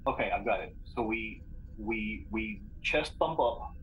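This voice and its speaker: A man's voice: fairly low pitch, measured pace, a bit bright, slight background noise, low-quality recording. A man talking casually as a player in a collaborative tabletop game.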